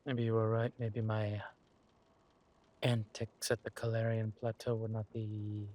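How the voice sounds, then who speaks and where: A man playing a suave, ruthless villain lord in an audio drama, mock-polite and menacing, with a husky voice and drawn-out delivery. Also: lowish voice, completely flat delivery, darkish tone, close to an everyday voice. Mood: brooding.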